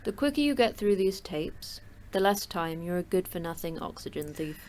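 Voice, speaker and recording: An actress playing a terse, blunt station manager at a secret facility in an audio drama. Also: female voice, fairly low pitch, animated, normal speed, darkish tone, close to an everyday voice. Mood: stern. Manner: blunt, dry, clipped.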